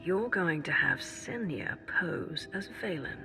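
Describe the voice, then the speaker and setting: A woman playing a dry, skeptical and menacing Force warrior in a video game, with measured delivery. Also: on the low side, expressive. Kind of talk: cinematic video game voice acting. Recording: music behind.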